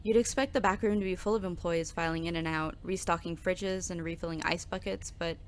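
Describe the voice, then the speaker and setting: Audio drama lead: woman playing a nervous, overworked new barista, lower-pitched voice, scripted narration. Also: fairly even intonation, fairly quick, a little hiss or hum, lightly acted. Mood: grim, weary. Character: nervous, overworked new coffee shop worker. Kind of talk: scripted second-person narration.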